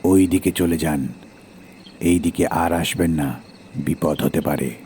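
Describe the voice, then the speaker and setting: A man's voice: low voice, fairly even intonation, slow, warm tone, slight background noise, pitched below the performer's own voice. A man voicing a menacing heavyset village guard in a horror audio story, speaking curtly in a cold, warning tone. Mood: ominous warning.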